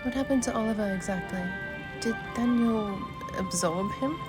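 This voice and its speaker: A fairly high female voice as a woman acts out a curious, guarded but kind adventurer in a fantasy game. Her lines are gentle and deliberate, with music clearly playing behind them. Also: close to an everyday voice. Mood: concerned. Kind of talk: amateur voice acting of game dialogue.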